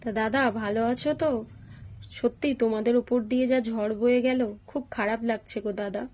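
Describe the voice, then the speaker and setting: A polite young man played by a woman speaks in a somewhat high voice. He is earnest and courteous, a visiting suitor in a simple doll tale. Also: fairly even intonation, moderate pace, a little mellow, natural voice. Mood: sympathetic, courteous.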